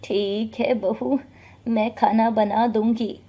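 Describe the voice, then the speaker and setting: A woman voicing a meek elderly mother and former schoolteacher in a story, pleading and gentle. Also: fairly high pitch, fairly even intonation, slow.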